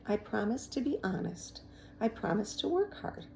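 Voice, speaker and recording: A woman's voice: mid-range voice, very animated, moderate pace, gravelly, mellow, slight background noise. A woman voicing an earnest, nervous schoolgirl in a storybook, speaking hesitantly and honestly.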